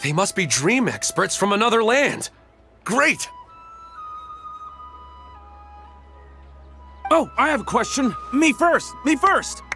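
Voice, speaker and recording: A man playing a polite, earnest, gentle townsman in a video game. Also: extremely high pitch, very animated, a little slow, soft background music, heavily acted. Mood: eager. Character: eager, gentle widowed townsman with polite manner. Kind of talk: video game character acting.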